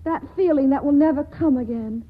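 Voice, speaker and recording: Actress for an earnest, pleading young woman; high, breathy, 1940s radio, tinny broadcast sound. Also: a little fast, close to an everyday voice. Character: earnest young woman torn in love, Monica.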